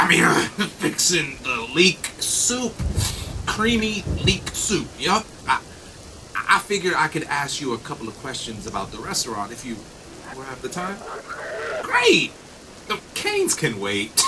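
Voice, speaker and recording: A man acting as an excitable diner customer in a radio play, with a higher voice that swings widely. He is flustered and overly polite, eager to please. The recording is clearly noisy, with rain behind him. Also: full character voice. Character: excitable, ingratiating male diner customer killing time. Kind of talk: audio drama acting.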